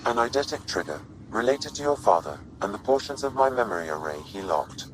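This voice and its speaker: An actor in a video game playing a courteous sentient artificial intelligence that speaks in a measured, formal, precise way. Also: male voice, mid-range voice, sing-song, slight background noise, natural voice, rough recording. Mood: measured, explanatory.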